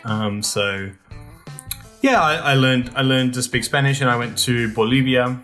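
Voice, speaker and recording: A young man chats easily in a conversational interview, his voice sitting at a middle pitch. He rambles through his stories with frequent ums and restarts, while a faint guitar plays softly behind him. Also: a little slow. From Australia. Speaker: guest.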